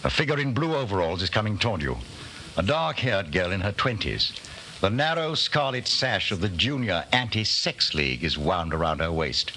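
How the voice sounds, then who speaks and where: An actor playing a grave, ominous narrator, dramatic and admonishing in the manner of 1940s radio drama. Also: male voice, sits low, sing-song, moderate pace, pushed and bright, full character voice. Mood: tense, suspenseful. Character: grave, ominous male narrator addressing the hero. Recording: old radio recording, faint noise.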